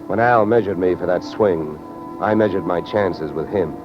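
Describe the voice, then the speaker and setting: A man's voice: low voice, normal speed, close to an everyday voice. An American man playing a wry, sardonic hard-boiled insurance investigator in old-time radio detective style. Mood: wry narration. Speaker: lead actor and narrator. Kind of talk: 1940s-50s radio detective acting. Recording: dull old radio recording with music.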